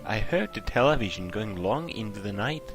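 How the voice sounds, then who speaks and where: A man voicing a polite, composed young woman with measured, gentle phrasing while reading a visual novel. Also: lowish voice, expressive, music in the background, poor audio. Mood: calm, gentle.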